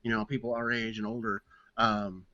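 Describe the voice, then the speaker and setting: A man's voice: lowish voice, little pitch movement, moderate pace, pushed and bright. A man co-hosting a podcast, rambling and restarting as he explains at length. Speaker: podcast co-host. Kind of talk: casual chat. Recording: dull lo-fi audio.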